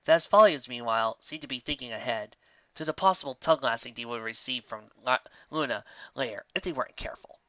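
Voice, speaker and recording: A man's voice: medium pitch, a little fast, sharp tone, dry recording, highs cut off. A man reading a fantasy story aloud as a dramatised narration. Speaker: fan fiction reader.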